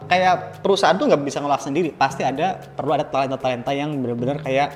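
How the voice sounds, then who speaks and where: A man hosting a casual podcast interview in a playful, animated, slangy and joking manner. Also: medium pitch, fast talker, in a large hall, a little hiss or hum. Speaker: podcast host.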